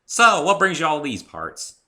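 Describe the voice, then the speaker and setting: A man's voice: on the high side, huge pitch swings, unhurried, sharp tone, voice pushed up, full character voice. A man voicing a friendly young female farm pony with a folksy US Southern drawl in a story reading. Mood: hearty, welcoming. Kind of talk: dramatic fan fiction reading.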